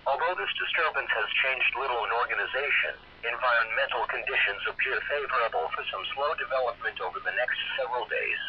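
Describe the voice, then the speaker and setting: A synthetic male voice reads a scripted weather forecast as a radio announcer, measured, stiff and impersonal. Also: medium pitch. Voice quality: synthetic text-to-speech voice. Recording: dull, noisy weather radio broadcast.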